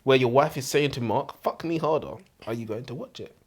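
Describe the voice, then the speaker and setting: A man's voice: mid-range voice, brisk. A man co-hosting a casual book discussion, posing questions in an irreverent, joking way. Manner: questioning, crude joking banter. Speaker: co-host of a book review show. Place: warm room, seated in comfy chairs.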